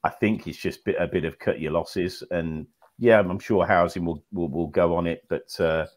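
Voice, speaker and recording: A man with a very low voice joins a casual fan podcast over a video call. He rambles, restarting sentences and leaning on filler phrases. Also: steady tone, normal speed. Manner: rambling, repeats words, many "you know"s. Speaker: podcast panelist. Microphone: video call with earphones.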